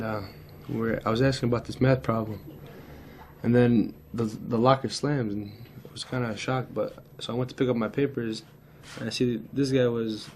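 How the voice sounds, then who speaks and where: Flat-toned polite teenage boy, acted by a student. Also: medium pitch, slight background noise, lightly acted. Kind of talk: amateur school dramatization.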